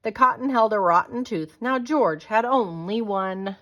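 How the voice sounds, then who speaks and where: A woman reading a children's picture book aloud as a storyteller, with dramatic, deliberate delivery. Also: medium pitch, fairly bright tone, slight background noise.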